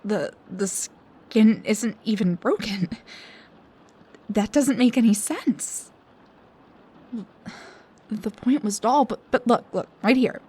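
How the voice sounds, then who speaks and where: Slow-paced, high-strung young research assistant acted by a woman. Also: dark tone, husky. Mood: alarmed, indignant. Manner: halting, stammering, dramatic. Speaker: audio roleplay performer. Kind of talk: intimate one-sided audio roleplay acting.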